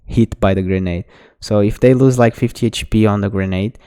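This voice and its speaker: A man, a native Portuguese speaker, talks a player through their mistakes in a relaxed coaching tone. His voice sits low and sounds smooth and mellow. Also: moderate pace. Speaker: game coach. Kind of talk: casual demo review commentary.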